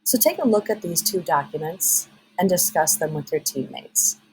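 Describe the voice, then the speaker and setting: Woman giving workshop instructions, lowish. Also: expressive, moderate pace, darkish tone, faint music behind, low-quality recording. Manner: clear, step-by-step directions. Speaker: workshop facilitator. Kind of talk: instructional voice-over.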